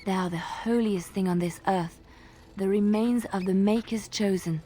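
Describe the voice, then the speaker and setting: Woman playing a devout, gentle young heroine. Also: medium pitch, normal speed, soft background music, natural voice. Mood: reverent. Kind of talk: video game character acting.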